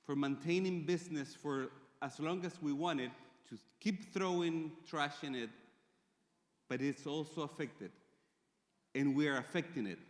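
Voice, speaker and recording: Chilean man speaking in a reverberant hall. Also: fairly high pitch. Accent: Chilean Spanish. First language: Spanish. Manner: measured, with frequent pauses and fillers. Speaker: conference speaker. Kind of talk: conference presentation. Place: large conference hall.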